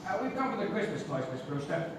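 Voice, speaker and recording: An actor in a comic stage play, playing an amiable police officer who is hesitant, folksy and rambling. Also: male voice, fairly high pitch, very animated, quick pace. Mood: friendly, respectful. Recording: distant, very echoey theatre recording with hiss.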